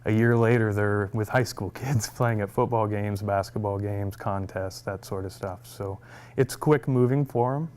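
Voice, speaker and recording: A man's voice: fairly low pitch, moderate pace, darkish tone. A young man, a music teacher, answering interview questions in a friendly, upbeat way and laughing easily.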